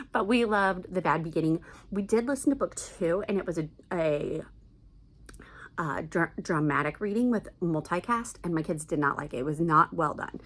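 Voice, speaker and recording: Lower-pitched, enthusiastic woman chatting casually. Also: normal speed. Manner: enthusiastic, emphatic. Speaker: book vlogger recommending family audiobooks.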